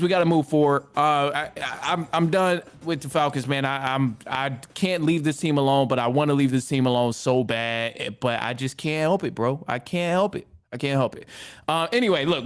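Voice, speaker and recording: High-pitched man ranting as sports podcast host. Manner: exasperated, rambling, repeats phrases for emphasis; informal slang, mild swearing. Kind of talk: casual sports rant to listeners.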